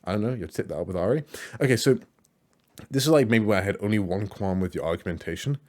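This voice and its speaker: Deep-voiced rambling man reacting. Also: fast, a little mellow. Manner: rambling, many fillers and restarts. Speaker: YouTuber reacting to videos. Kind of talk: casual reaction commentary.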